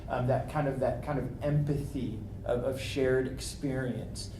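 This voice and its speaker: A man's voice: mid-range voice, steady tone. A man who works as a foreign correspondent, answering on a convention panel in a thoughtful, reflective way with frequent restarts. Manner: thoughtful, many restarts, slows down on serious points. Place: convention meeting room. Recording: echoey room with background noise.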